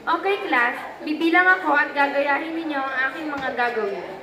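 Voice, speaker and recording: A woman's voice: sits high, lively intonation, moderate pace, crowd noise. A woman playing a strict, commanding schoolteacher in a puppet show. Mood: stern, instructing. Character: strict female schoolteacher leading class exercise. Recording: echoey, distant, noisy room.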